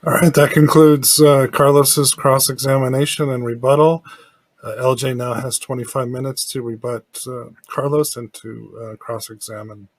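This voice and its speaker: A man's voice: mid-range voice, flat delivery, moderate pace, no room sound. Male moderator, formal, off-mic, dry sound. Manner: brief and procedural. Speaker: debate moderator. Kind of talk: formal announcement.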